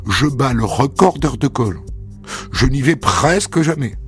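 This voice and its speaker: A man telling a first-person story as a narrator, in a measured, intimate reading. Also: mid-range voice, expressive. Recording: dry studio voice over soft music.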